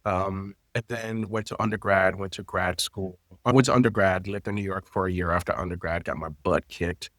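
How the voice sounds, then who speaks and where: Male guest, late 30s, native Haitian Creole speaker. Very low pitch, dry sound, expressive and conversational. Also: normal speed, hardly any pauses, no room sound. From Florida, United States. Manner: expressive, personal, loose phrasing with frequent fillers. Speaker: guest, intimacy coordinator and teacher. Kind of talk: conversational interview storytelling.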